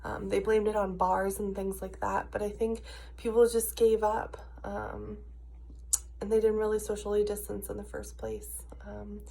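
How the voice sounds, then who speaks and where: An American woman in her early thirties talks casually to her viewers in a personal rant. Her voice is husky and often drops into a gravelly creak, worn by a cough and sore sinuses. She sounds frustrated and leans hard on her points at a medium pitch. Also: flat delivery, normal speed, warm tone, poor audio. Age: early 30s. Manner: frustrated, emphatic. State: ill, with a cough and congested sinuses. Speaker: video diarist.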